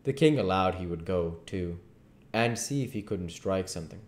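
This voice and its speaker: A man in his thirties narrates a story in a low voice. He reads audiobook style at home, and the room adds clear echo. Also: expressive, moderate pace, darkish tone. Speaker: narrator reading a novel aloud. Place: living room at home, indoors. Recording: echoey room.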